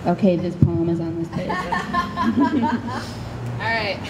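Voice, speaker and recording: A woman's voice: mid-range voice, big pitch swings, slow pace. A young woman from Chicago with an American accent, a poet at a live spoken word reading, candid and informal as she projects into a stand microphone. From Chicago, USA. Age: young adult. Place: outdoors under a canopy tent. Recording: clear background noise, outdoor event ambience.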